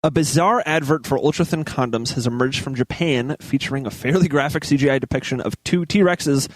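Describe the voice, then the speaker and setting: A man's voice: mid-range voice, fairly quick, no room sound. A man on a casual comedy show reading news articles aloud, occasionally stumbling over the words.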